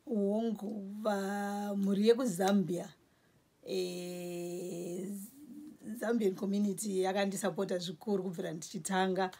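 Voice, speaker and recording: A woman's voice: medium pitch, flat delivery, dark tone. A woman in her forties with a Zimbabwean accent, a store owner talking casually about her grocery shop. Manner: lists goods slowly while showing shelves. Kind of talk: casual interview in Shona. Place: small indoor grocery store.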